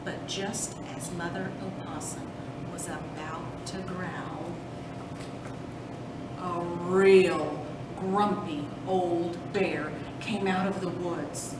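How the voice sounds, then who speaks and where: A woman narrating a children's storybook as a storytime host, deliberately, with dramatic emphasis on action words. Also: fairly even intonation. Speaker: storytime host reading a picture book. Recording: roomy, distant mic with rumbling noise.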